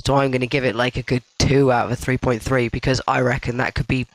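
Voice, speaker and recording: A woman co-hosting a live music review show, chatty and swearing freely, her voice going hoarse from a birthday. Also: extremely low pitch, little pitch movement, quick pace, no echo. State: losing her voice. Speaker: co-host. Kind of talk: casual chat over music, reviewing tracks. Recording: loud electronic music behind.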